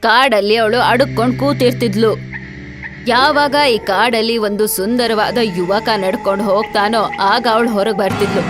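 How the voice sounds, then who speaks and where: ನಟಿಯೊಬ್ಬಳು ಅಭಿನಯಿಸಿದ ನಿಗೂಢ ಕಥೆ ಹೇಳುವ ಢಾಬಾ ಒಡತಿ. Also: female voice, on the high side, natural voice. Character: motherly, ominous eatery woman telling ghost tales.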